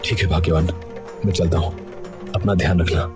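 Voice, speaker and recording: An earnest undercover detective from a village cartoon tale, voiced by a man. His voice sits low and he talks like someone explaining himself. Music plays clearly behind him. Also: fairly even intonation, fairly quick, close to an everyday voice. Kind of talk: Hindi cartoon story dialogue.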